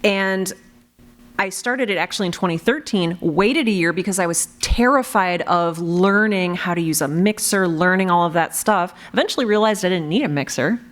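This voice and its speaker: Animated, self-deprecating woman on a panel. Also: fairly low pitch, normal speed. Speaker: podcast creator and panel moderator. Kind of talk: casual panel talk to an audience. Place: live convention panel before an audience.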